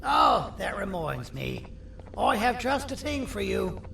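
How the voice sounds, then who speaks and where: A man playing a sinister, self-important monster surgeon in a video game, pompous, theatrical and condescending, with a gravelly voice. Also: sits high, sing-song, takes it slowly, pushed and bright, full character voice. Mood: scheming, commanding. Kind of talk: video game villain character acting. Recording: roomy echo, distant, faint noise.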